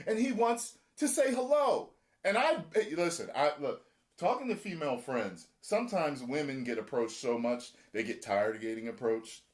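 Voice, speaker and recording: A man hosting a casual reaction chat, talking in an animated way and acting out other people's lines. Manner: animated, acts out quotes with shifting pitch. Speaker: show host.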